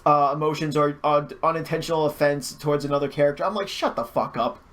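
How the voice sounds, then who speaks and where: A man's voice: on the high side, steady tone, fast talker, a bit bright. A man chatting casually and giving opinions, repeating words as he goes and swearing freely. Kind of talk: casual opinionated chat about gaming.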